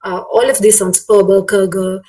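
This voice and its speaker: A woman's voice: mid-range voice, flat delivery, moderate pace, warm tone. A woman with a Malayali Indian English accent, a native Malayalam speaker, giving a conversational, explanatory webinar lecture and often checking for agreement. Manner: conversational, frequently seeks agreement with tag questions. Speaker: academic guest lecturer. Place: online webinar. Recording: distant microphone, online webinar audio.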